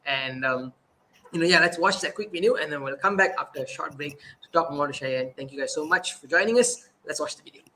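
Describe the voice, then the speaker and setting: A man's voice: fairly high pitch, fairly quick, bright, forceful tone, slight background noise. A man hosting a casual online talk show, upbeat and laughing often, with frequent fillers and restarts. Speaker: host.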